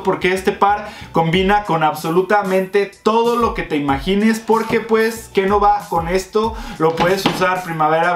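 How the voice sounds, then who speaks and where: A young man giving a casual, enthusiastic review to viewers he calls friends, seated at a desk indoors. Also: high-pitched. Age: young adult. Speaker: fashion vlogger. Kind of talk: casual product review vlog. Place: indoor room with shelves. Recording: echoey room with faint music.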